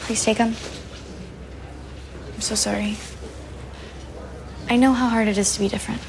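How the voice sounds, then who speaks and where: An actress playing an earnest, apologetic teenage girl who talks quickly and rambles a little. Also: on the high side, level tone, gravelly, background noise. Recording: dialogue over series music.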